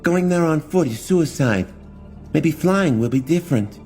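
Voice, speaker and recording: A man voicing a determined young woman warrior who speaks in a dark, resolute tone. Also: fairly high pitch, expressive, a little slow, faint music behind, pitched above the performer's own voice. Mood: grim.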